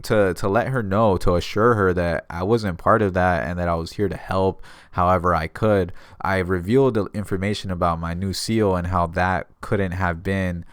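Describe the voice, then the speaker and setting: A man's voice: low voice, clear, resonant tone, no room sound, studio quality. An American man talking casually to viewers as a notary giving advice, explaining things in a conversational way by retelling exchanges. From San Diego area, California. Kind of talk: casual instructional vlog talk.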